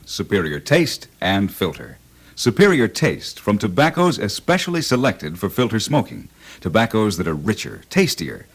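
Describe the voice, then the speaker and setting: An American man reading a scripted 1950s radio advertisement as the announcer. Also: lowish voice. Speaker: commercial announcer.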